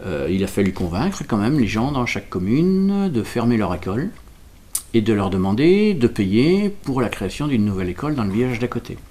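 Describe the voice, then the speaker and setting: A man from France giving explanatory interview answers as a local representative, halting and correcting himself as he searches for words. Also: medium pitch, sing-song, mellow. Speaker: local representative explaining a village school project.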